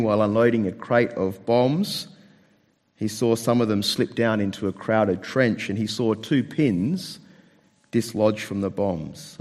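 A man with a slightly hoarse, croaky voice, preaching a sermon with storytelling as a pastor. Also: on the low side, a little mellow. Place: church hall, speaking to a congregation. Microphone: microphone with amplification. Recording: long hall reverb through PA microphone.

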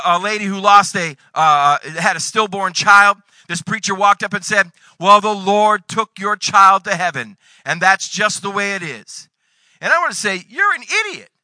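Male pastor, forties, high voice, dry close sound, dynamic sermon delivery. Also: no room sound, rough recording. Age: 40s. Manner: preacher's dynamic delivery with sudden loud bursts and Bible readings.

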